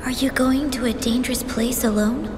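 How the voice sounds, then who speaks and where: An actress playing an earnest young apprentice in a game cutscene, speaking in a hesitant, pleading way. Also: female voice, sits high, fairly even intonation, normal speed, roomy sound, long reverb tail, natural voice. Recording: game music underneath.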